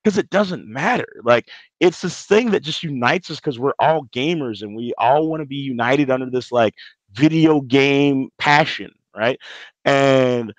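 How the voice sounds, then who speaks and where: A man's voice: medium pitch, measured pace, no room sound, low-quality recording. A man chatting casually as a podcast guest, earnest and emphatic, often posing questions and answering them himself. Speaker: podcast guest, gaming commentator. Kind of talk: casual chat.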